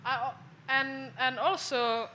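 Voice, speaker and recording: A woman's voice: high-pitched, steady tone, takes it slowly, sharp tone, a little hiss or hum. A woman from Bali with an Indonesian accent, a guest on a discussion panel, speaking hesitantly and searching for words in front of an audience. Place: event venue with an audience.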